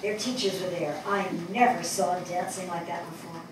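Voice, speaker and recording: A woman's voice: on the low side, fairly even intonation, normal speed, lightly acted. Older woman playing thoughtful writer, very echoey stage. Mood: interested. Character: thoughtful, attentive New York woman writer. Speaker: actress in a staged play reading. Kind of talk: dramatic stage reading, storytelling. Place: on stage in a theatre before an audience. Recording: distant mic, echoey hall, audible noise.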